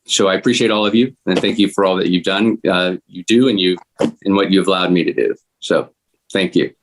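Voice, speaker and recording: A man giving informal thanks in a halting, sincere manner. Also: low voice, noisy background. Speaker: event supporter. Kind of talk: informal thank-you remarks.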